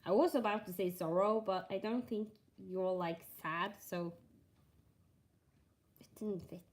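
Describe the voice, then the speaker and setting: A young Norwegian woman with a Norwegian accent chats unhurriedly with her livestream viewers as she stitches. She speaks softly and slowly, at an easy medium pitch, and stays casual throughout. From Norway. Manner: slow and soft-spoken, reading chat aloud.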